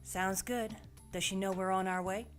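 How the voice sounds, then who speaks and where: A woman's voice: mid-range voice, fairly even intonation, normal speed, lightly acted. A woman playing a practical, caring middle-aged investigator in an audio drama, talking in a plain, conversational way. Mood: curious, practical. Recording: music behind the voice.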